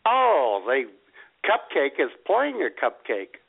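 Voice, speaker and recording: A man's voice: on the high side, huge pitch swings, slow pace, gravelly, husky. A man hosting a radio show with flirtatious, teasing casual chat. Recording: narrow tinny phone-like sound.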